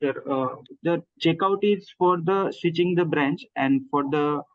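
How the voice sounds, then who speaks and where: A male student answering his teacher's question hesitantly, searching for words with many pauses. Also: fairly high pitch. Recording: dull lo-fi line.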